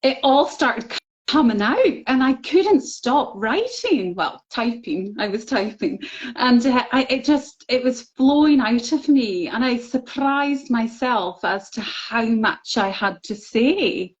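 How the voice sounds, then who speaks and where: A woman's voice: on the high side, animated, slow pace. A middle-aged woman telling her personal story openly and earnestly as an interview guest, with fillers. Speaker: guest, book contributor. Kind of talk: casual interview chat. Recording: slightly dull, lo-fi audio.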